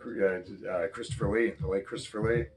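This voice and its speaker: A man with a raspy voice co-hosting a film podcast, chatting in an easygoing, reflective way. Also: lowish voice, fairly even intonation, brisk, darkish tone, slight background noise. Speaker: podcast co-host. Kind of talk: casual chat about a TV show.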